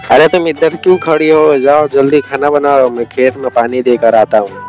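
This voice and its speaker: A lazy village husband played by a man talks in a casual grumble at an ordinary male pitch. It is cartoon storybook acting with music clearly playing behind. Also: fairly even intonation, moderate pace, darkish tone, lo-fi sound, natural voice. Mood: brisk.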